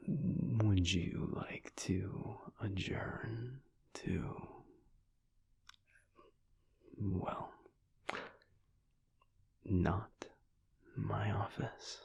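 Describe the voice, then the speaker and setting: A man playing a tired, affectionate werewolf husband in an intimate audio roleplay, murmuring softly and haltingly in a breathy, husky near-whisper. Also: on the low side, full character voice. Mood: teasing, affectionate. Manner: halting, soft, murmured with hums.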